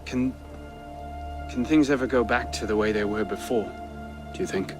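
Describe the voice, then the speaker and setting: A man's voice: medium pitch, normal speed, over music, natural voice. An actor playing an earnest, wry young man, a romantic adventurer in a video game. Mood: wistful, tender. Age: young adult.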